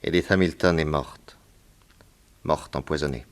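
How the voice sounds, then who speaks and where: An actor playing an authoritative detective in a radio drama, formal, probing and insistent. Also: male voice, extremely low pitch, unhurried. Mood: courteous, suspicious. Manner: commanding, formal, insistent questioning. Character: authoritative male American detective, brisk, probing and courteous.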